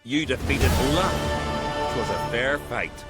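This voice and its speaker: An actor playing a proud island arena master in a video game, speaking ceremonially in archaic turns of phrase. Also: male voice, mid-range voice, expressive, unhurried, lightly acted. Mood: solemn, proud. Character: proud island arena master, solemn keeper of fighting tradition. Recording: music behind the voice. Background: game music.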